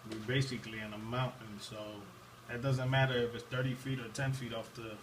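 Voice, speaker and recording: A man's voice: mid-range voice, flat delivery, a little fast. A man from Puerto Rico talking casually as an amateur radio operator, repeating phrases as he explains. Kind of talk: casual ham radio conversation. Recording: distant, noisy room capture.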